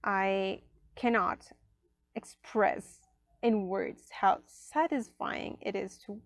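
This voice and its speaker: A woman whose first language is Spanish, casually reviewing an oracle deck at a table, chatty, with many fillers and soft near-whispered asides. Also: medium pitch, fairly even intonation, slow pace, no echo, studio quality. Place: indoors at a table.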